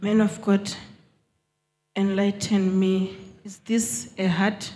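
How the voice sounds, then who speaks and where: Zimbabwean lady testifying on a handheld mic, really slow, pauses between short bits. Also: mid-range voice, level tone, dark tone, soft background music. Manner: deliberate, pausing between short phrases. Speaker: congregant giving testimony. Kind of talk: public testimony. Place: large hall with congregation. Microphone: handheld microphone.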